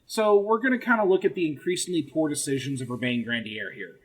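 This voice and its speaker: A husky-voiced man with a slightly high pitch leads a casual history podcast. He explains things wryly and swears freely as he goes, in a chatty, joking style. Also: fast. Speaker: podcast host leading the episode.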